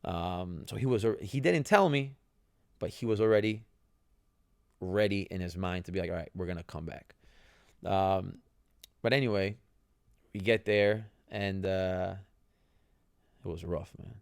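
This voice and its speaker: A man's voice: sits low, measured pace, creaky, long pauses, clean tone, no room sound, studio quality. A young man, a podcast host and former soccer player whose first language is Italian, telling stories casually with lots of fillers and "right?" tags. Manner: conversational, many fillers and tag questions. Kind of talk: casual storytelling.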